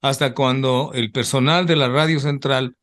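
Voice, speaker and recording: A man's voice: medium pitch, steady tone, moderate pace, a bit bright. An older man from Ecuador, a former radio announcer reminiscing in an interview and rambling on with repeated asides.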